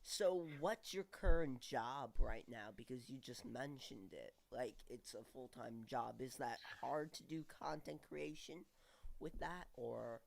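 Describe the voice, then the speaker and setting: Slow, gravelly man hosting with a cold. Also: medium pitch. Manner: upbeat, asks curious questions. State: congested from a cold. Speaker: livestream host. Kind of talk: casual interview chat. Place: home streaming studio.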